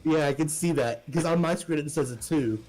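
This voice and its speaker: A man's voice: on the high side, fairly quick, crowd noise, poor audio. A man chatting informally as a player in an online tabletop game. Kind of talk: casual chat during an online game. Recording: music playing behind the voice. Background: music.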